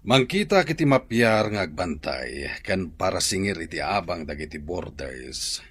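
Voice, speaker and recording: Very low, husky Filipino husband. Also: male voice, big pitch swings, a little slow, a bit bright, dry recording, full character voice. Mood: insistent. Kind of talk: radio drama acting.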